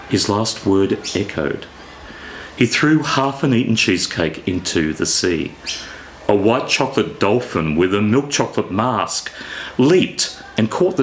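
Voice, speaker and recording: Slow, lively-voiced man reading a story. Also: lowish voice, a little hiss or hum, low-quality recording. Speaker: author reading from own novella. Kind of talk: expressive scripted story reading.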